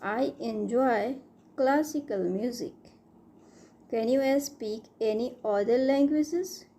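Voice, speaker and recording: A woman reading short everyday English phrases for learners, saying each line twice, slowly and clearly. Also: on the high side, warm tone. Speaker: English teacher. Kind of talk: slow repeated phrase drills for learners.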